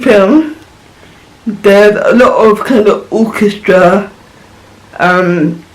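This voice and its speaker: High-voiced man rambling about a film. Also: poor audio. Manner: rambling, searching for words, gushing. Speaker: vlogger reviewing a film. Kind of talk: casual film reaction talk.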